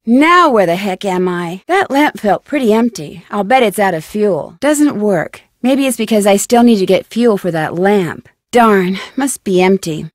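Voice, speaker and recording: Actress as young female detective, curious, plucky, mid-pitched, clearly enunciated, thinking aloud, adventure game, dry sound. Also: lively intonation, measured pace, hardly any pauses, dry recording, natural voice. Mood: puzzled, thoughtful. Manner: clearly enunciated, musing to herself. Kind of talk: scripted adventure game voice lines.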